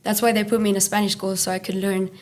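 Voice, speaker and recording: A woman's voice: medium pitch, level tone, fast talker, darkish tone. A woman from Finland chatting as a podcast guest, rambling with lots of fillers and restarts. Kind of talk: casual chat.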